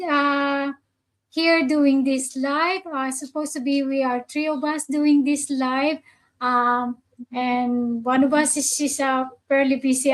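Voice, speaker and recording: A woman's voice: sits high, poor audio. A woman from the Philippines hosting a live online conversation, talking hesitantly with many fillers and restarts. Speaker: host of a live online chat. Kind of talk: casual live stream conversation.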